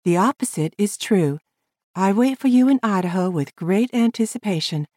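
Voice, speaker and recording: A woman's voice: medium pitch, animated, moderate pace, darkish tone, no echo, clean studio sound, pitched above the performer's own voice, natural voice. A woman voicing a polite, earnest young frontier lady who speaks formally.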